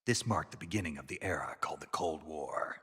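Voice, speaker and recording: A grave narrator for a game's opening. The voice is very low and husky with a bright edge, and it rides up and down in pitch. A long reverb trails every word. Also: male voice, lively intonation, fairly quick, heavily acted. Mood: solemn. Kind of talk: scripted game cutscene narration.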